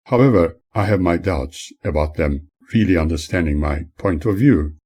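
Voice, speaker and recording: Male author, audiobook narration, dark warm tone, husky, formal measured delivery. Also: low voice, expressive. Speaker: author reading own memoir. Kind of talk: scripted narration, audiobook reading.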